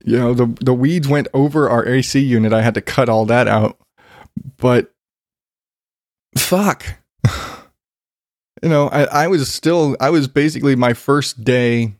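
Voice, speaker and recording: A man's voice: mid-range voice, unhurried, pauses often, no echo. Congested man ranting in a dry room. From Arizona, US. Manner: profane, rambling, self-deprecating. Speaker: vlogger. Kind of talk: casual rant.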